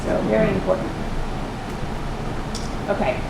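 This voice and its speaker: A quiet-voiced woman delivers a lunch-time nutrition lecture, soft-spoken and often pausing on fillers. Her voice bounces around a roomy hall, and a steady air-conditioning hum sits clearly behind her. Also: medium pitch. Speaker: nutrition consultant.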